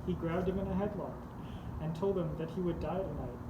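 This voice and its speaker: A man's voice: sits high, fairly quick, lo-fi sound. A man reading a comedic fan story aloud in an informal storytelling way. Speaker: podcast co-host. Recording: echoey room with loud background noise.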